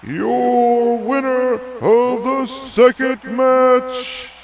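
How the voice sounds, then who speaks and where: Guy doing a grand ring announcer, super slow drawn out high calls, crowd behind him. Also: fairly even intonation, full character voice. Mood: grand, showy. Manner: drawn-out, showy. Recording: clear crowd noise behind.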